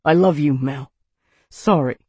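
A narrator voicing a desperate young man who speaks in a hushed, halting, resigned way, choked with regret. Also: mid-range voice, husky, no room sound. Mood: tender, halting.

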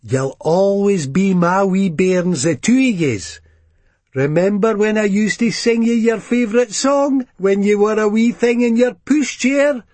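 A man with a Scottish accent voicing a doting middle-aged Scottish mother, husky and sing-song. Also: medium pitch, a little slow, a little mellow, dry recording, voice pushed up, close to an everyday voice.

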